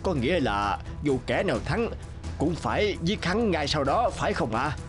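A man's voice: a little high, lively intonation, moderate pace, soft background music, close to an everyday voice. An actor playing a timid old servant to a king in a cartoon, hesitant and deferential. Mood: nervous. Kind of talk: animated fairy tale dialogue.

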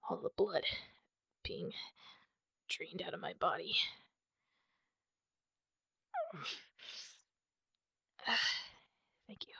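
A woman playing a wounded, guarded and prickly masked superheroine in an ASMR roleplay, half-whispering in a breathy, husky voice with halting, pained sighs. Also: mid-range voice, sharp tone, no room sound, heavily acted. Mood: weary, pained. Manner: halting, sighing, pained delivery.

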